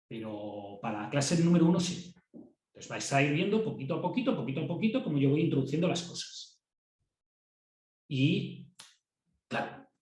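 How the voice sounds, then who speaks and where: A man with a Castilian Spanish accent teaches a class in a relaxed, conversational way. He often repeats a word before going on and checks that students are following. His voice sits at a middle pitch and rings around a roomy, echoey classroom. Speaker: university lecturer. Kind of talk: classroom lecture.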